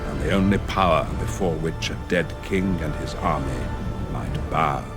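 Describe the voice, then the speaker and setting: Deep, solemn old wizard. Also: male voice, moderate pace. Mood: grave, foreboding. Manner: solemn, portentous. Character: grave, wise old male wizard narrating war plans. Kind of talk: dramatic scripted narration. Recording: orchestral music behind the voice.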